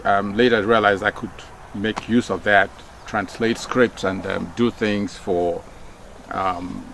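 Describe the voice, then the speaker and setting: A middle-aged Nigerian man with a low, gravelly voice speaks in an easygoing, reflective way. He fills his pauses with "um" and "you know" and lifts his voice when he drives a point home. Faint background noise with insects sits behind him. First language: Nigerian Pidgin. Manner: unhurried, many fillers, rises when stressing a point. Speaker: speaker, former broadcaster. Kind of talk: casual reflective talk.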